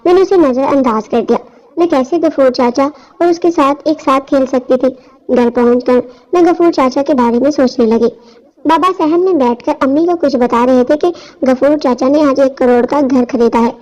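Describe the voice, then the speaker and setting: High-pitched woman narrating, muffled recording. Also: darkish tone. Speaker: story narrator. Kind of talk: scripted first-person narration. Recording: dull, lo-fi audio.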